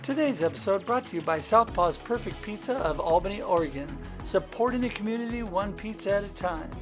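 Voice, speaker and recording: A man's voice: high voice, animated, fairly quick, music in the background. A man hosting a podcast, upbeat and promotional as he introduces his guests. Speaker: podcast host.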